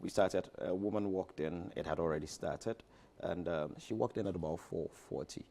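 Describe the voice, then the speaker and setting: A man's voice: low voice, normal speed, creaky, warm tone. A man with a Ghanaian accent promoting a show as an interview guest, speaking emphatically and repeating phrases for effect. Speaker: guest, stage play director.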